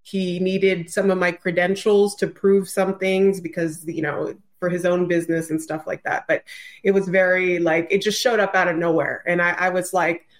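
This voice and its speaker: A woman's voice: lowish voice, little pitch movement, normal speed. A woman chatting casually as a podcast guest, effusive and exclamatory in the way she talks.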